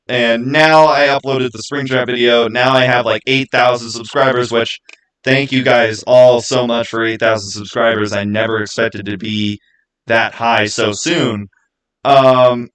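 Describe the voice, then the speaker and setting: A man from the Americas chatting casually as a livestreamer, answering viewers and explaining himself in a halting way with repeated false starts. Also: mid-range voice, a bit bright, rough recording. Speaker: live streamer and gaming YouTuber.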